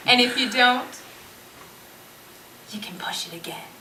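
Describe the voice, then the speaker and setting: A woman's voice: a little high, a little slow, natural voice. A woman in an amateur stage play acting a teasing, sarcastic young woman who explains things mockingly. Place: indoor stage, recorded at a distance. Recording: echoey distant stage recording, faint noise.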